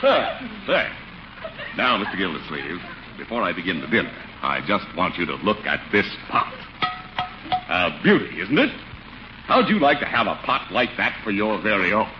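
Glib salesman, wildly animated. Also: sits low, moderate pace. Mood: salesmanlike. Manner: hearty sales patter, smooth-talking. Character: glib, ingratiating, flirtatious kitchenware salesman. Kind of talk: 1940s radio comedy acting. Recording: noisy, dull 1940s radio recording.

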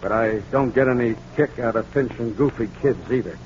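An actor playing a gruff, skeptical police sergeant, brusque and authoritative, with an American accent in 1940s radio style. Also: male voice, mid-range voice, brisk, darkish tone, lightly acted. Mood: stern, relenting. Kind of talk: 1940s radio drama acting. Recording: dull, noisy 1940s radio recording.

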